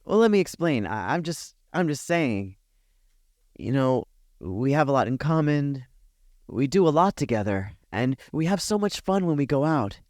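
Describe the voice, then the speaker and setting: A man playing a tender, nervous best friend confessing a crush, speaking softly, hesitantly and earnestly in an intimate ASMR roleplay. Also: mid-range voice, big pitch swings, moderate pace, dry recording, clean studio sound, natural voice. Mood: nervous, hopeful. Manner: soft-spoken, hesitant, earnest.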